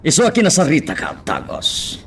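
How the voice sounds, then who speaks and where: A man's voice: fairly high pitch, huge pitch swings, moderate pace, vocal fry, full character voice. A man acting a stern, commanding crime boss and father in a radio drama. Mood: stern, scheming. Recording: faint background noise.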